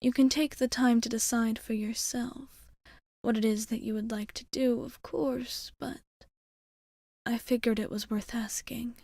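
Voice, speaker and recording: A gentle immortal vampire bartender, acted by a woman, speaks in an intimate ASMR roleplay. The voice is fairly high but mellow and unhurried, breathy to the edge of a whisper. The phrasing is courteous, formal and a little hesitant, addressed tenderly to one listener. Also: steady tone, no echo, clean studio sound. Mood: careful, earnest. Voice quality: breathy, often slipping into whisper. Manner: soft-spoken, hesitant, formal old-fashioned phrasing. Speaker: ASMR performer.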